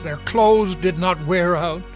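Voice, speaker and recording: Slow, high-voiced solemn Levite. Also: male voice. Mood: solemn confession. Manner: solemn, declamatory. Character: devout ancient Levite praying solemnly aloud before the people. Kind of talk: audio Bible drama. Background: music.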